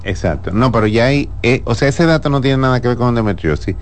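A man, a gynecologist who answers listeners on a radio health show in a calm, explanatory way. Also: mid-range voice, fast talker, a little hiss or hum. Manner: calm, explanatory, laughs easily.

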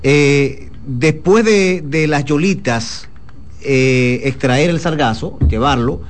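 A man interviewing a guest, asking hesitant, halting questions. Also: on the high side, a little hiss or hum.

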